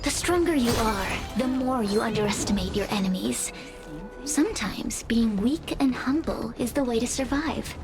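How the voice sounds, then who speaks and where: A woman voicing a calculating, ruthless spirit in a video game, speaking in a cold, insinuating, measured way. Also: a little high, expressive. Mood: sly, knowing. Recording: game music behind the voice.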